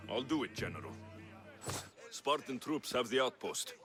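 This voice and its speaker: Man playing dry, expressive mercenary warrior. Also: medium pitch, moderate pace, natural voice. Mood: resolute. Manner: dry, terse. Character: stoic male Greek mercenary warrior, dryly loyal. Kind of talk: scripted video game acting. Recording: music behind the voice.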